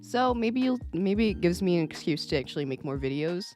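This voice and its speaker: A man's voice: high-pitched, big pitch swings, a little fast, a little mellow. A young man talking casually to camera at home, rambling and candid, swearing often and laughing between thoughts. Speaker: vlogger. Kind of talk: casual vlog chat.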